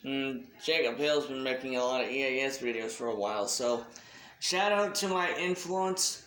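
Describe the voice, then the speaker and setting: A man giving a casual, unscripted shout-out announcement as a hobby video creator, talking in a halting, deliberate way. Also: mid-range voice, reverberant, slight background noise, rough recording.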